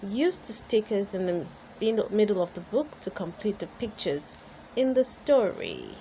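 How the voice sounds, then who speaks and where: A woman reading a storybook aloud for children in an expressive way, as the host. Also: medium pitch, moderate pace, slight background noise, rough recording. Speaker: storybook reader and channel host.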